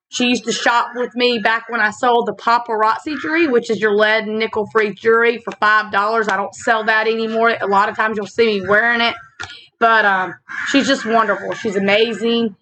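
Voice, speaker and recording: A woman with a slightly raspy voice, hosting a casual faith vlog, talking earnestly with fillers and slow reflective stretches into a laptop mic. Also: fairly high pitch, pushed and bright, a little hiss or hum, low-quality recording. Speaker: channel host. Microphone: laptop built-in mic.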